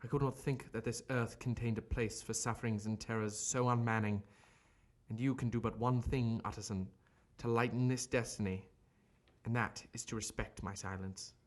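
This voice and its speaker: A man playing a guilt-ridden gentleman doctor in an audio drama, speaking a letter in a formal, solemn, secretive way. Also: medium pitch, steady tone, moderate pace, lightly acted. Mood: grave, tormented. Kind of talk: audio drama acting, letter read in character.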